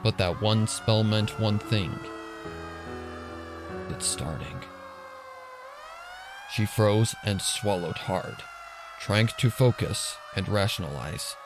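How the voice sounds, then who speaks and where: A man's voice: lowish voice, a little slow. A man reading a scripted story as a dramatic narrator. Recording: narration over music and sirens.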